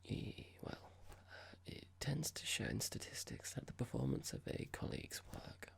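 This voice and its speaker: Someone playing a creaky, whispering, condescending boss. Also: medium pitch, huge pitch swings, heavily acted. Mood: stern, condescending. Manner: measured, formal, passive-aggressive, halting. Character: cold condescending boss about to fire an employee. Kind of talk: ASMR roleplay, whispered and soft-spoken.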